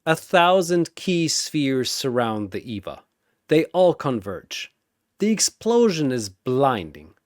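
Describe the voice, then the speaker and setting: A man delivers dramatic battle narration at a deliberate pace. His theatrical voice swings widely in pitch and builds suspense, in a close, dry recording. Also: sing-song, dry recording.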